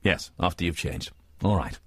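Casting: man acting snobbish blue-blood gent for comic radio play, low register, repetitive and insistent, dry close sound. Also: normal speed, a bit bright, slightly rough, no echo. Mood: earnest, fond. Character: snobbish, pleading upper-class aristocrat man.

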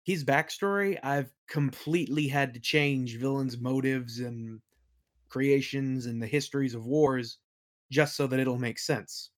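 A man's voice: mid-range voice, fairly even intonation, measured pace. A man being interviewed as a game master and creator, chatting casually in an informal, enthusiastic manner. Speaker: podcast guest, game master and audio play creator.

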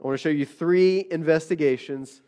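A man preaching to his congregation in a nasal voice, still getting over an illness. His voice sits a little high for a man, and he talks in a loose, conversational way, with plenty of "uh"s and restarts. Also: normal speed, mellow. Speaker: pastor. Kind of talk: sermon.

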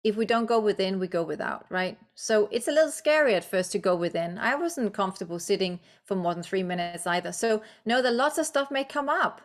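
A woman's voice: medium pitch, expressive, a little fast, few pauses. A woman from Denmark whose first language is Danish, a guest author and coach speaking English in a podcast interview, encouraging and reflective with frequent fillers.

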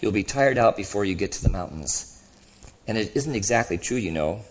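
A man voicing a gentle, cheerful redeemed spirit in a storybook reading, patient, humble and lightly amused. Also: on the low side, animated, a little fast, breathy edge, poor audio. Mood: mildly amused.

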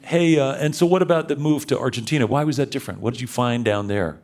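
Man, radio interviewer, medium pitch, casual phrasing, encouraging, conversational. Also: fast, a little mellow. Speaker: radio show host, interviewer.

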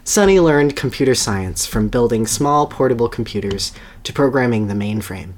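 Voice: medium pitch, animated, normal speed. A podcast host gives a dramatic reading of a story, with casual asides and an amused manner. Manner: amused, breaks into laughter while reading.

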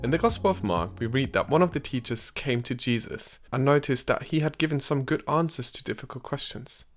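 Podcast narrator: a man with a mid-range voice, measured storytelling delivery, scripted. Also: medium pitch, lively intonation, faint music behind. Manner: measured storyteller, lifts his voice for quoted speech.

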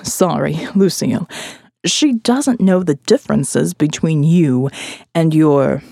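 A gruff, placating man, acted by a female narrator, with a low growling voice and a dry, close sound. He is uneasy and cautioning, in an audio drama. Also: slow pace, mellow, no echo, full character voice.

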